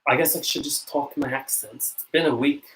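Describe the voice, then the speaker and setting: Bright-voiced North American young man. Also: medium pitch, poor audio. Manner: rambling, self-conscious, quick laughs. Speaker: channel host. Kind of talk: casual live-stream chat to camera.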